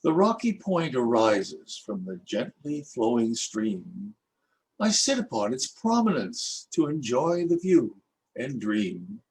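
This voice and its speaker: An older Canadian man reading his own rhyming poems aloud in a measured, lilting recitation. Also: mid-range voice, a little hiss or hum, low-quality recording. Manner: lilting, expressive recitation with rhythmic rhyme. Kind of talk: slow, measured poetry reading.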